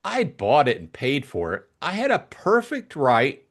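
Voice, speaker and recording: A man performs an easily embarrassed young gentleman of the upper classes for an audiobook. His protests are indignant and flustered, and his pitch swings about freely. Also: huge pitch swings, measured pace, exaggerated voice. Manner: protesting, stammering repetition. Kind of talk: audiobook character acting.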